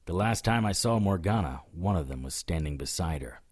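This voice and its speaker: A man playing a hard-boiled occult detective in an audio drama, narrating and talking in a dry, sardonic way with a husky voice. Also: sits low, expressive, fairly quick. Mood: grim, determined. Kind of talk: first-person noir narration and dialogue in audio drama.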